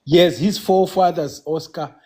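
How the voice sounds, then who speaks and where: A South African man whose first language is Xhosa, calling in to a casual live chat and talking haltingly, with many restarts and fillers. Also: on the high side, darkish tone. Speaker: caller.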